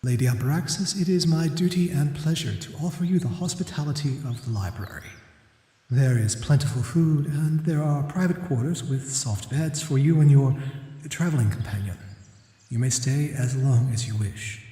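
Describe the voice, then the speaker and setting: A man playing a courteous, scholarly library keeper in an ASMR roleplay, with formal, deferential, measured delivery. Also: mid-range voice, warm tone, natural voice. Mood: welcoming. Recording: echoey hall reverb.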